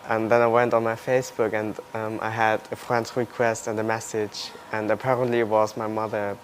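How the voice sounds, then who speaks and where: A man in his early twenties from Germany, speaking non-native English with a German accent, telling his personal story in a halting, plain way. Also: on the low side, flat delivery. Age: early 20s. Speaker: interviewee, adoptee searching for birth family. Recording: loud music under the voice. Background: music.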